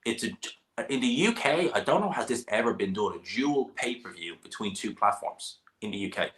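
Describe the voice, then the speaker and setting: Bright-toned man hosting a banter-filled boxing stream. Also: mid-range voice, expressive, a little fast, poor audio. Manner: jokey, banter-heavy, laughs often. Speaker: boxing channel host. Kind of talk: casual live-stream chat.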